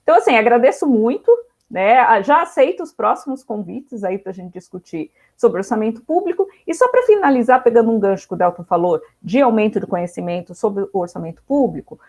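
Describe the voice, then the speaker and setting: A woman's voice: fairly high pitch, expressive, a little fast, darkish tone. A woman economist from São Paulo who is a guest on the show and talks in a friendly, chatty way. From São Paulo, Brazil. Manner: friendly, chatty, laughs easily. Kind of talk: casual chat.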